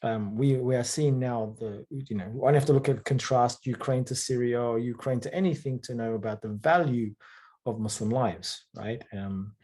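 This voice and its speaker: A man from the UK, speaking thoughtfully in a conversational interview, often pausing with fillers and checking in with "right?". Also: mid-range voice, fairly even intonation, mellow. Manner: thoughtful, hesitant, with frequent fillers and rhetorical "right?". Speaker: author and guest.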